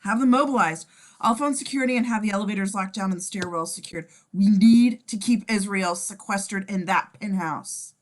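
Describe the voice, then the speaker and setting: A woman's voice: medium pitch, fairly quick, natural voice. A woman playing a businesslike, urgent federal agent giving orders in an amateur script read.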